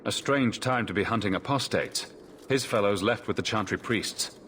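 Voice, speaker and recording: Actor as resolute young man, fairly deep voice, terse, wary, fantasy game, noisy ambience. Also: moderate pace, fairly bright tone, lightly acted. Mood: wary, defiant. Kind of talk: dramatic fantasy video game acting.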